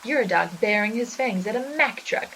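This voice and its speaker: A woman's voice: fairly high pitch, expressive, moderate pace, fairly bright tone, slight background noise, low-quality recording. A woman playing a menacing, gloating spider monster in flapper guise, theatrical and taunting, in a 1920s gangster-style audio drama. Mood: menacing, scornful. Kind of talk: 1920s gangster slang radio drama acting.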